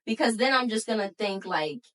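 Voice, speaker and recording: A woman's voice: mid-range voice, lively intonation, a little fast, a bit bright, distant mic. A woman in her twenties co-hosting a podcast, talking frankly and bluntly in casual chat with slang and restarts. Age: 20s. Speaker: podcast co-host.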